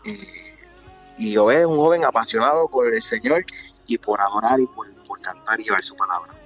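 Puerto rican man, 20s, devout gospel singer guest, low voice, dull lo-fi call sound. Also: fairly bright tone, soft background music. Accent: Puerto Rican Spanish. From Puerto Rico. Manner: earnest, devout, many pauses and fillers. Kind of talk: remote interview.

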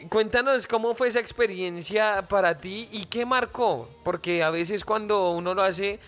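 A man's voice: high-pitched, normal speed, a bit bright, faint music behind. A man with a Colombian accent hosting a radio show, interviewing a guest in a playful, joking way. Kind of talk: friendly interview.

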